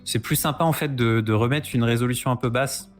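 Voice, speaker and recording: A man's voice: mid-range voice, brisk. A man chatting casually as a podcast guest, explaining retro games with keen interest. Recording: music playing behind the voice.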